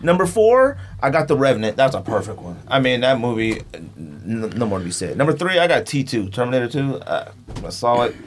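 A man's voice: mid-range voice, very animated, fairly quick, slight background noise. A man chatting casually as a podcast host, blunt and opinionated, with slangy talk. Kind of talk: casual movie debate.

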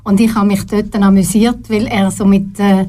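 Older Swiss German woman, mellow voice. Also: medium pitch, level tone. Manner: thoughtful, pauses often to search for words. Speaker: guest, retired TV presenter. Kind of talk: relaxed radio studio interview. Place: radio studio.

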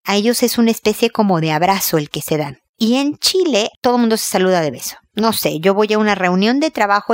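A woman's voice: medium pitch, no echo, clean studio sound. A middle-aged woman from Mexico with a Mexican accent, answering listeners in a conversational, reassuring and explanatory way, like an advice host. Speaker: host, advice-giving psychologist.

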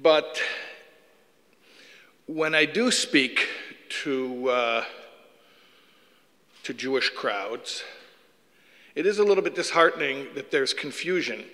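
Man with a Chicago accent, guest lecturer: earnest and self-deprecating, bright tone, lecture-hall echo. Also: medium pitch, animated, takes it slowly, long pauses. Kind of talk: public lecture with jokes.

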